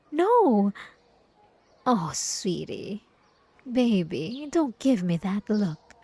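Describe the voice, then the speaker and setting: A woman's voice: medium pitch, a little mellow, no echo, full character voice. A woman playing a possessive, gaslighting ex-girlfriend in an ASMR roleplay, coy and mock-innocent with a sweet menace, speaking straight to the listener. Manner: coy, teasing, mock-innocent, drawn-out pet names.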